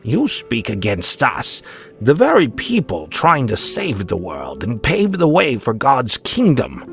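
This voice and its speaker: Man acting slow, gravelly, self-righteous priest villain. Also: mid-range voice, pitched above the performer's own voice, heavily acted. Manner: slow, drawn-out, hissing when quiet. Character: menacing self-righteous male lead priest, cold and scheming. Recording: music behind the voice.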